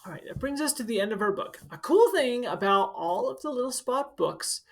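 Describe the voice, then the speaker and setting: A woman's voice: on the high side, animated, brisk. A woman school counselor reading a picture book aloud to children, adding informal, instructive asides as she goes. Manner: instructive, speaking directly to children.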